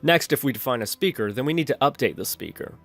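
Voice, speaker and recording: A man's voice: medium pitch, animated, fast, fairly bright tone. A man narrating an instructional screencast as a tutorial host, explaining methodically step by step. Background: background music.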